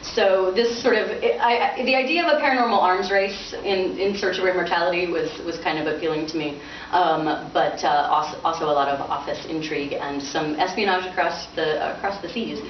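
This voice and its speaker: Woman author at a book reading; lower-pitched voice, picked up from a distance with noise. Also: brisk, lo-fi sound. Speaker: author reading from own novel. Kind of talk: informal book talk and dramatic prose reading. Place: bookstore reading event. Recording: roomy, distant, noisy bandlimited event recording.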